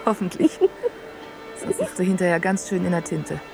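A woman's voice: fairly low pitch, sing-song, normal speed, gravelly, over music. An actress playing a well-read, wry woman who talks reflectively and articulately in a radio play conversation. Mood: wry, intimate. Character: well-read woman musing wryly on seducers with a friend.

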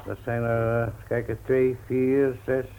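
A man's voice: mid-range voice, flat delivery, measured pace, narrow, tinny audio, slight background noise, natural voice. An actor playing a stubborn, fussy shopkeeper in his seventies in a Dutch radio play, hesitant yet bossy. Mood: irritated. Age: 70s. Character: stubborn, fussy old bookshop owner, an uncle.

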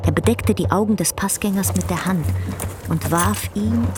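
A woman's voice: mid-range voice, normal speed, over loud music, lightly acted. A woman performing as the tender narrator of a literary radio drama.

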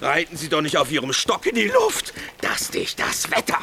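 A melancholy, pompous army captain in a radio drama. His voice is creaky and forceful, swinging widely as he rambles sentimentally with a streak of teasing malice, over faint ambient noise. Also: fairly high pitch, huge pitch swings, normal speed, heavily acted. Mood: breathless, anxious. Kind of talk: German radio drama acting.

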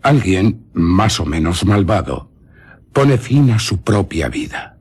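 Very raspy old baron, mysterious and learned. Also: male voice, low voice, very animated, a little mellow, full character voice. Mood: grave, instructive. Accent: Castilian Spanish. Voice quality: very husky, raspy. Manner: deliberate, dramatic storytelling with lingering pauses. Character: eccentric old nobleman baron, mysterious, learned vampire scholar. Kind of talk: Spanish radio drama acting.

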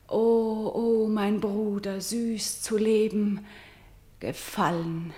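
Echoey sound, condemned young tragic heroine, slow, solemn, lamenting, defiant, radio play verse. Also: female voice, mid-range voice, steady tone, dark tone. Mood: grieving. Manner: solemn, measured, dropping from ringing lament to whisper. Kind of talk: declaimed verse tragedy in a German radio play. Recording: echoey, roomy studio sound.

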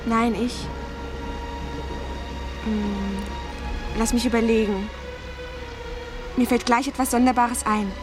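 A playful teenage schoolgirl in a 1970s German radio drama. The voice sits fairly high, and the delivery is that of a curious younger sister. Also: steady tone, takes it slowly, pauses often, music in the background. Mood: playful, dreamy.

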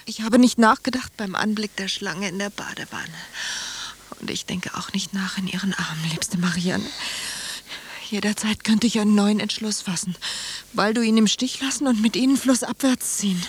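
A woman's voice: mid-range voice, normal speed, slight background noise, natural voice. An actress playing a wilful, languid woman in a flirtatious affair, speaking formal, stylised lines in a German radio play. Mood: yearning. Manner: formal, poised, stylised diction.

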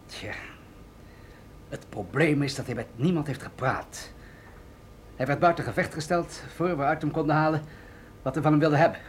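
Radio play general, played by a man: slightly high male voice, grave, commanding, explanatory, with rumbling background noise. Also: moderate pace, long pauses, close to an everyday voice. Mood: serious, probing. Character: authoritative male military general briefing an agent, grave and deliberate. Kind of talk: radio drama acting.

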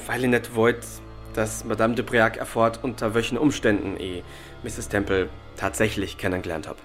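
A man acting an evasive young suspect in a German radio crime drama, deferential, halting and guarded as he answers. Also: fairly low pitch, fairly even intonation, soft background music, close to an everyday voice. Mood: uneasy explaining.

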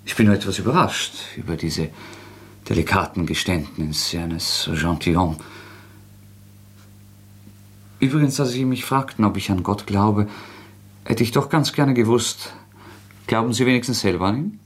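An actor playing a proud young intellectual murderer in a radio drama, sarcastic, agitated and theatrical, defiant and brittle. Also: male voice, lowish voice, moderate pace, lightly acted. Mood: mocking. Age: young adult. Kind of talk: German radio drama acting.

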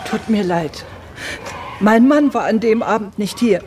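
A woman playing a halting, tearful farmer's wife. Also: mid-range voice, a little mellow, a little hiss or hum, natural voice. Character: unhappy farmer's wife longing for warmth.

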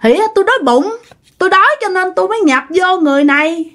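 A shrill young ghost girl, as a woman storyteller puts her on, hungry and mischievous. Her voice rides very high and swoops all over, giggly and taunting in a spoken ghost story. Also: animated, quick pace, fairly bright tone.

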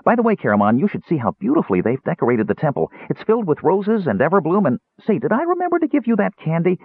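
A man voicing an excitable, childlike little kender who rambles eagerly in a storybook reading. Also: mid-range voice, moderate pace, breathy edge, no echo, narrow, tinny audio, pitched above the performer's own voice, full character voice. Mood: chattering, evasive. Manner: rambling, breathless, eager, digressive.

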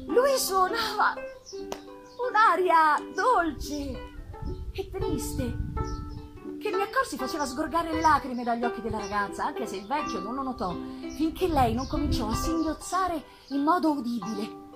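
A woman reads a literary story aloud in a somewhat high voice. Her delivery is theatrical and expressive, with deliberate pauses and stretched phrases. Live music plays clearly behind her throughout. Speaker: literary reader performing on stage. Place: live theatre festival performance. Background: live musical accompaniment.

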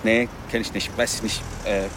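An actor in a radio drama playing a car tinkerer under questioning, evasive and stammering, with slangy protests. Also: male voice, fairly low pitch, little pitch movement, normal speed, natural voice. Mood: agitated, indignant. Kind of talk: naturalistic radio drama acting. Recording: clear outdoor car and traffic noise.

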